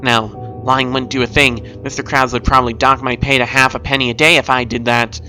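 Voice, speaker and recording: A man voicing an earnest, excitable young sea sponge from a cartoon story. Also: medium pitch, brisk, soft background music. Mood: anxious.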